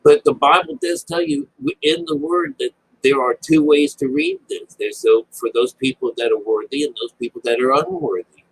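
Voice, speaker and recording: A man giving informal Bible commentary in a rambling, halting way, often breaking off and restating himself. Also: on the high side, very animated, vocal fry, a little hiss or hum, poor audio.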